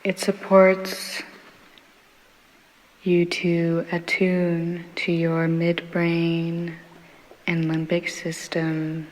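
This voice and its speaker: A woman leading a guided meditation in a soothing ASMR style, speaking in short unhurried phrases with long pauses. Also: on the low side, completely flat delivery, rough recording. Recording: waves and rain sound clearly behind. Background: ambient ocean waves and rain.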